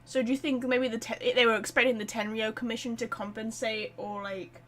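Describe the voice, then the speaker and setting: Female game streamer, bright and fairly high, chatty, thinking aloud to her chat. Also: level tone, fairly quick. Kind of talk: casual live game commentary.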